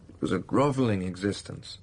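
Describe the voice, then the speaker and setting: An actor playing a brooding, passionate middle-aged master of a country estate in a period radio drama, gravelly and intense. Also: male voice, fairly low pitch, fairly quick, darkish tone, a little hiss or hum, lightly acted. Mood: brooding, regretful. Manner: intense, confessional, pleading.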